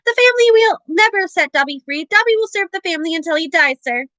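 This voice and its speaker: A woman voicing a small, earnest, servile male house elf with a high-pitched, tearful, overeager voice. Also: expressive, quick pace, sharp tone, no echo, heavily acted.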